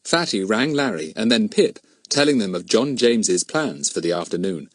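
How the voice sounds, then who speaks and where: A man reading a children's mystery audiobook in an expressive storytelling manner. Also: fairly low pitch, moderate pace, dry recording, studio quality. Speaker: audiobook narrator.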